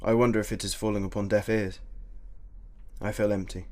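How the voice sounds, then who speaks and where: A man's voice: lowish voice, little pitch movement, fairly quick, darkish tone, lightly acted. A man playing a grieving older general in a radio play, formal, earnest and wounded. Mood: despairing, lonely. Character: grieving retired general, older widower, hounded and despairing.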